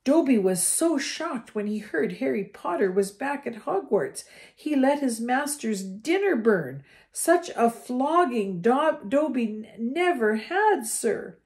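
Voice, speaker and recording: A small, anxious house elf in a storybook, his voice pitched high and squeaky, breaking into meek pleas and whispers, as a woman puts him on. The tone underneath stays dark and mellow. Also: big pitch swings, slow pace, few pauses, full character voice. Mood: pleading. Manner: squeaky, pleading, sometimes whispering.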